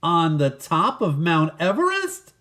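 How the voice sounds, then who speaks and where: A man's voice: fairly high pitch, wildly swooping pitch, slow pace, bright, forceful tone, voice pushed up, heavily acted. A man voicing a curious, easily alarmed young boy in a storybook, exclaiming and asking earnest questions.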